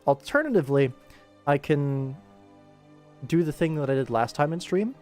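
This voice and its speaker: A man's voice: a little high, lively intonation, moderate pace, a little mellow, faint music behind. A man casually commentating on his animation work stream, thinking aloud and chatting informally with viewers. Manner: informal, rambling to himself and viewers.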